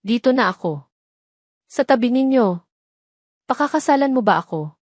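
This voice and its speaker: A woman with a Filipino accent voicing an earnest, loving man, a gentle father and suitor in a romance audio story. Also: fairly high pitch, expressive, moderate pace, no room sound, clean studio sound, voice pushed up. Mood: earnest, emotional.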